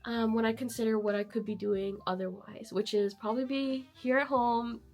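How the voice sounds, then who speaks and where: A woman vlogger and artist vendor chatting casually to camera, hoarse and losing her voice from being sick, upbeat with frequent fillers. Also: fairly high pitch, steady tone, normal speed. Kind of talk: casual vlog chat to camera.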